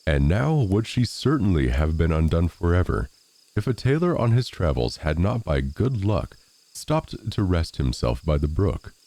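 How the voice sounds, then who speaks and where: A man reading a scripted fairy tale as an expressive storyteller. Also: low-pitched, moderate pace.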